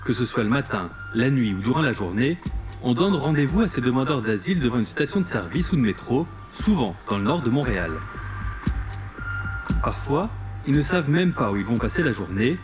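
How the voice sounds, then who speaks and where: A man's voice: medium pitch, big pitch swings, brisk, music in the background. A man reading scripted narration for an investigative television news report.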